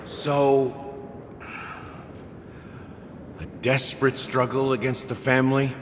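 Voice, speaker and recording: A man playing a blunt, gruff but caring friend in a video game cutscene. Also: mid-range voice, flat delivery, slow, in a large hall, noisy background, close to an everyday voice. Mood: grave, concerned.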